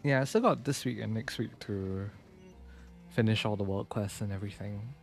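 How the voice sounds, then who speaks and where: A man's voice: fairly low pitch, moderate pace, creaky. A young man giving casual, chatty live gameplay commentary as a streamer, thinking aloud in a laid-back way. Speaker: game streamer, virtual YouTuber. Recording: game music behind the voice.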